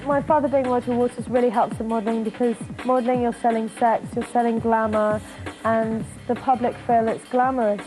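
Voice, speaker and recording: A nineteen-year-old woman talks in an offhand, hesitant way. Her delivery stays flat, with little rise and fall. Music plays clearly underneath her. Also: fairly high pitch, fairly quick, rough recording. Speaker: model, reality show housemate. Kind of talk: casual interview.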